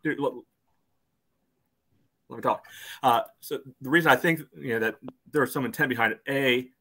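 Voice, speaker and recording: A man's voice: medium pitch, moderate pace, pushed and bright. A man co-hosting a casual soccer podcast over a video call, peppering his speech with "you know". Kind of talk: casual sports discussion.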